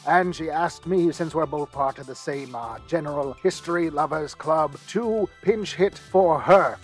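A man playing a chatty, eccentric podcast host, rambling through a comedic promo with hesitant, mock-earnest asides. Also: fairly high pitch. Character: Lyman Keys, chatty goofy eccentric male podcast host. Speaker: substitute podcast announcer.

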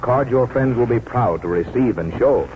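A man's voice: mid-range voice, animated, normal speed, a little hiss or hum. A man announcing in polished, formal 1940s radio commercial style, reading from a script. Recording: tinny 1940s radio, faint music.

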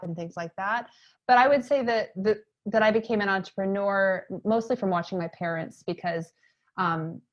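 A woman in her thirties from Maine, telling stories in a chatty interview with lots of fillers. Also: lowish voice. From Maine, USA. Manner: chatty, frequent fillers, lively emphasis. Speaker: interview guest, entrepreneur. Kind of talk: casual conversational storytelling.

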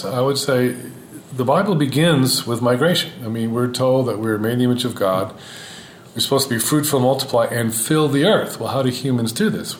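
A man from Houston, an Old Testament scholar, talking as a podcast guest in a thoughtful, measured way with frequent fillers. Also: medium pitch. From Houston, Texas, United States. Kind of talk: podcast interview. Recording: roomy sound with faint ambient noise.

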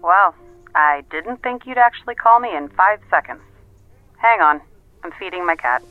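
A woman's voice: fairly low pitch, animated, normal speed, faint music behind. A woman playing a wry, efficient detective's assistant, clipped and matter-of-fact, heard through a telephone filter in an audio drama. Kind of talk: naturalistic audio drama dialogue. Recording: tinny, narrow phone line.

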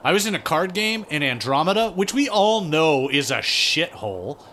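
A man's voice: on the high side, very animated, normal speed, pushed and bright, slight background noise. A man playing an offhand, evasive, scheming diner cook who excuses himself in a comedy audio drama. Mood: defensive.

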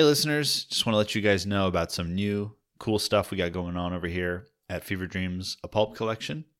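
A man with a deep voice hosts a podcast introduction. He talks casually and conversationally, as a producer speaking straight to listeners. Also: a bit bright. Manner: informal, conversational.

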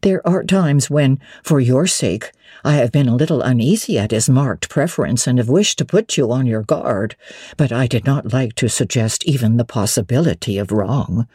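Woman playing a hesitant, anxious elderly widow, low-pitched. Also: animated, warm tone, husky, no echo, studio quality, exaggerated voice. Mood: gently cautioning. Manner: hesitant, halting, fretful. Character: elderly widowed housekeeper, bewildered, anxious, cautious. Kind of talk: dramatic audiobook reading of classic literature.

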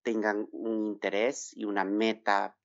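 Middle-aged guy from el salvador raised in texas, lowish voice, talks it through slow n careful, dull dry audio. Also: little pitch movement, vocal fry, no room sound. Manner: measured, explanatory, with filler "eh". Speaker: financial advisor guest. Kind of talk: podcast interview.

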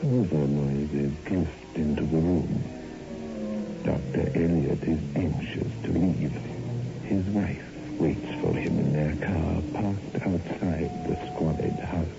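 An actor playing a sinister, ghoulishly welcoming horror show host, speaking slowly and theatrically in 1960s radio narration style. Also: male voice, a bass voice, gravelly, heavily acted. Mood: hushed, ominous. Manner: slow, theatrical, ominous. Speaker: host and announcer. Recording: dull old radio recording, loud music.

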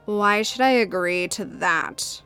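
A woman's voice: medium pitch, takes it slowly, sharp tone, smooth, faint music behind. A woman playing a demanding, easily affronted diva in a drama, haughty and petulant. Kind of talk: scripted drama dialogue.

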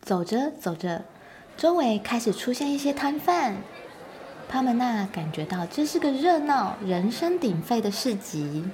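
A woman's voice: fairly high pitch, big pitch swings, measured pace, a crowd in the background. A woman narrating a scripted children's story as its storyteller.

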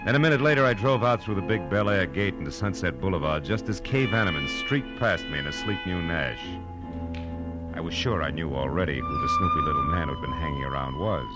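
An actor playing a wry, tough-talking American private detective in a 1940s radio drama, terse and sardonic. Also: male voice, deep voice, lively intonation, fairly quick. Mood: narrating, matter-of-fact. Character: hard-boiled, wry male private detective. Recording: vintage radio recording with music.

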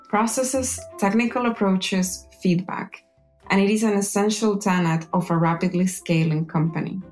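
A woman reading scripted narration in a measured, explanatory way, as an engineering manager presenting. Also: on the low side, darkish tone. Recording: music behind the voice. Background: music.

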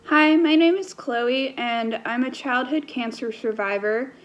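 A woman's voice: a little high, a little slow, lo-fi sound, over loud music. A woman sending in a video question, earnest and grateful.

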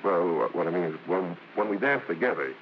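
An actor playing a brash but bashful American song-and-dance man in 1950s radio drama, blustering and halting, full of slang. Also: deep voice, animated, creaky, a little hiss or hum. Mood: awkward, sheepish. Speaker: actor and singer. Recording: muffled old radio broadcast with music.

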